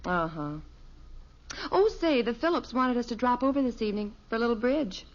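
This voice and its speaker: Wry middle-aged American radio mom, fairly high expressive voice, polite, dull vintage broadcast sound. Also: female voice, fairly quick. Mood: gently wry. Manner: polite, gently wry, unruffled. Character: gentle, wry middle-aged suburban wife and mother. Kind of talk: 1950s radio sitcom acting.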